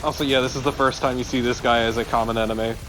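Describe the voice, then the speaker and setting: Blunt, mid-pitched man chatting over gameplay. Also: fairly even intonation, rapid-fire, background noise. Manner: blunt, sweary, conversational. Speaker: let's play co-commentator and gamer. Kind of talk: casual game commentary.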